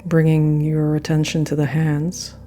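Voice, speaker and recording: A woman guiding a yoga nidra meditation with gentle, unhurried phrasing and frequent pauses. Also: low-pitched, monotone. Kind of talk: guided meditation narration. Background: soft meditation music.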